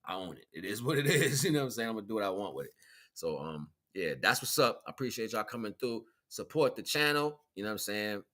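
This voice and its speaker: A man's voice: lowish voice, very animated, quick pace, pushed and bright. An American man chatting casually on a livestream as a musician, slangy and laid-back. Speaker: streamer and musician presenting own song.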